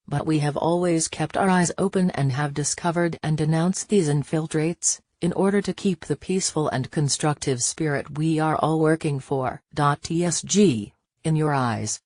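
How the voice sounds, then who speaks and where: Dry, even synthetic news reader. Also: mid-range voice, no room sound. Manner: even, unhurried read-out, speaking the interviewer and guest labels aloud. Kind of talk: scripted read-aloud of a news interview.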